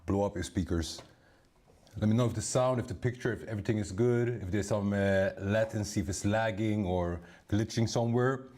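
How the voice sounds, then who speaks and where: Low, dark-voiced man hosting a stream. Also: moderate pace. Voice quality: strong voice. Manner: relaxed, addresses viewers as bro and my people. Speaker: livestream host. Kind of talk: casual live-stream chat.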